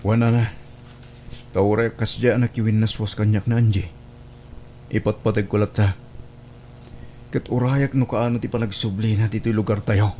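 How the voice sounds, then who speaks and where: A man acts a devoted young suitor in a family radio drama. His voice is lowish, dark and mellow, earnest and respectful, with a faint hum behind it. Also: fairly even intonation, normal speed, pauses often, natural voice. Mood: downcast. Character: mild, devoted young provincial suitor, worried.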